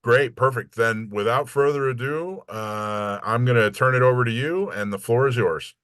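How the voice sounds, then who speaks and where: A man's voice: medium pitch, normal speed, a bit bright, clean tone, no room sound. A man speaking as a host, giving an informal introduction of a guest speaker.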